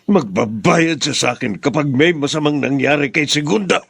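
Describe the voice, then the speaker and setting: A stern, gravelly father. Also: fairly high pitch, moderate pace, noisy background. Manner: grave, commanding. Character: stern father, vengeful and commanding.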